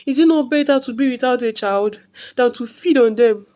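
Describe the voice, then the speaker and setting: A woman storyteller plays an anguished young mother from a folktale, terrified of her own dark craving. Her voice is pitched up, halting and pleading, with words repeated. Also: lightly acted. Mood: wailing despair.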